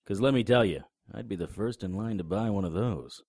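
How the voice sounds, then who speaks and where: Man acting a low-voiced, wisecracking investigator. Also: a little fast, noisy background, natural voice. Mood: dry sarcasm. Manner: dry, sarcastic. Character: wisecracking, unflappable male paranormal investigator. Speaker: actor in audio drama. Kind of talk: audio drama dialogue and first-person noir narration.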